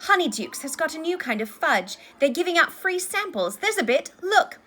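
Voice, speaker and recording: A woman acts out an excitable teenage schoolboy in a storybook. The voice is bright and lifted high, with eager, chatty enthusiasm. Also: a little fast, full character voice. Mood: excited. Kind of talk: storybook character voice.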